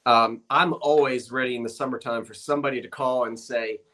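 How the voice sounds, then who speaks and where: A man's voice: medium pitch, fairly even intonation, a little fast, bright, forceful tone. A man hosting a casual live-stream sale over a video call, folksy and homespun in how he talks.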